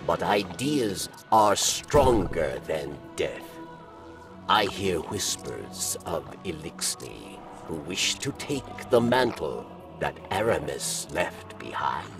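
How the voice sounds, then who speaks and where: Aged alien outcast, gravelly, solemn, very slow, swooping intonation, distant, music behind. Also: male voice, low-pitched, sing-song, heavily acted. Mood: bittersweet, mournful. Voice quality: gravelly, breathy at times. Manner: slow, halting, solemn phrasing. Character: weary old alien outcast, grave and regretful. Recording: distant sound under loud music.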